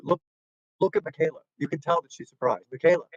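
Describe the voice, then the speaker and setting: A man co-hosting an interview show, joking and moving things along briskly. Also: a little high, dull audio. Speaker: co-host.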